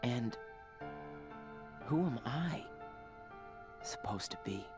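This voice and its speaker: A man playing a confused, troubled and quietly resentful teenage boy in a video game cutscene. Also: mid-range voice, expressive, drawn-out words, over music. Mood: brooding, confused.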